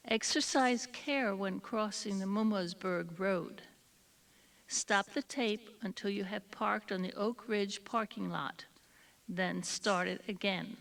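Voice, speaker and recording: A woman's voice: medium pitch, slow, creaky, fairly bright tone, recorded from a distance. A woman reading scripted instructions as an audio tour guide. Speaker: tour guide giving directions.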